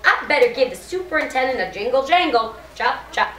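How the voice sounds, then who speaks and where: Woman playing an excitable, goofy male school principal, echoey. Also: high voice, fairly quick. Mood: excited, flustered. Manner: exclaiming in sing-song rhyming nonsense. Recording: noisy echoey room, far from mic.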